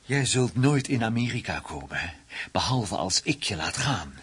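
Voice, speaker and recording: A man's voice: lowish voice, big pitch swings, normal speed, fairly bright tone, slightly rough, heavily acted. A man acting in a radio play as a hard, vengeful investigator, menacing and sardonic as he interrogates. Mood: threatening. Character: hard, vengeful male investigator, survivor of Nazi camps.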